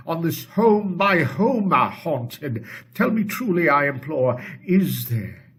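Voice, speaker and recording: A man playing a grief-stricken, haunted man in a dramatic poetry reading, husky, declamatory and pleading. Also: a little high, big pitch swings, takes it slowly, fairly bright tone, voice pushed up, exaggerated voice. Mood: desperate pleading.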